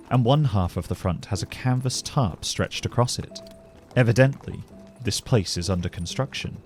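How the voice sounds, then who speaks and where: A man narrating a scripted fantasy story as a measured, descriptive storyteller. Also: on the low side, a little mellow.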